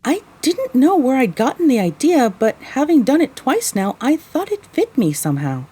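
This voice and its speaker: Young mare heroine-narrator, female actor: weary, wry, lively intonation, soft husky tone, audio drama storytelling. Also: high-pitched, moderate pace, full character voice.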